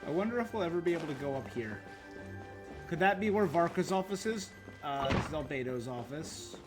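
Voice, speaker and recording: A man giving casual game commentary and explaining lore as a streamer, halting with pauses. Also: fairly high pitch, lively intonation, music in the background.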